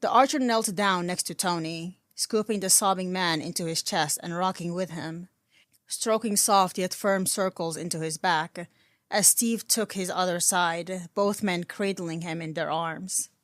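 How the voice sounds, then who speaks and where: A woman's voice: on the low side, measured pace, fairly bright tone, no echo, clean studio sound. A woman reading scripted fan fiction as a dramatic story narrator. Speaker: fan fiction audiobook reader.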